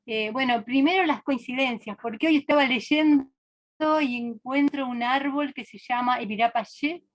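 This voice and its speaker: Lady in a seminar, pausing to think, highish voice. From Argentina. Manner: reflective, hesitant, with frequent restarts. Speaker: seminar participant. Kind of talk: remote seminar discussion.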